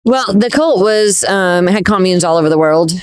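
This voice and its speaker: A woman's voice: mid-range voice, animated, normal speed. A woman, a ministry leader, speaking as a guest in a faith-based interview, earnest and emphatic with a preaching tone.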